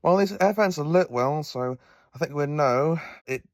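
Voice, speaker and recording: An actor playing a quirky, knowing professor who travels in time, explaining things wryly and haltingly in an audio drama. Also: male voice, fairly high pitch, no room sound, clean studio sound, lightly acted.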